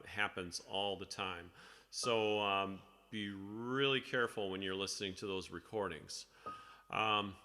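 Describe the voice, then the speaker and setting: A man leading a choir rehearsal in a casual, halting, self-deprecating way, with a slightly crackly voice. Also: on the low side, sharp tone, in a large hall. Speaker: choir director. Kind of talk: casual rehearsal instruction over a video call.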